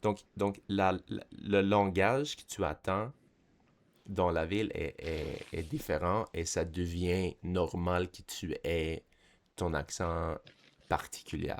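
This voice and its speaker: Deep-voiced host. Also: male voice, slow pace. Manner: animated, explaining, checks in with "tu sais?". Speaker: podcast host. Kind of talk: casual conversation.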